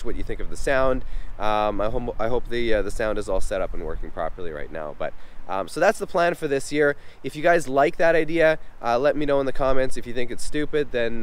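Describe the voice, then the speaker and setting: A man in his thirties chatting casually to camera as a vlog host, congested with a head cold, speaking informally and a bit haltingly outdoors into a camera mic at arm's length. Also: mid-range voice, slight background noise. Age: 30s. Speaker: vlog host, helicopter company owner. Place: outdoors on an open helipad. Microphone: external camera mic at arm's length.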